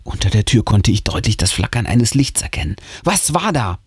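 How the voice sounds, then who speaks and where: Husky man telling a spooky story, lively pitch. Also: moderate pace, no echo, clean studio sound. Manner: theatrical, suspenseful. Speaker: host and narrator reading a horror story. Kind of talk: dramatic audiobook storytelling.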